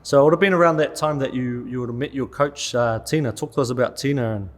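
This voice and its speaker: A man's voice: medium pitch, fast. Upbeat man hosting an interview. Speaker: podcast host. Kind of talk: interview questions.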